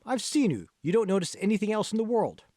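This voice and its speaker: Man voicing an emphatic, encouraging fitness mentor, lively pitch. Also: quick pace, no echo, studio quality. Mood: insistent. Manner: emphatic, instructive, coaxing. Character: John Hall, patient, encouraging male fitness mentor.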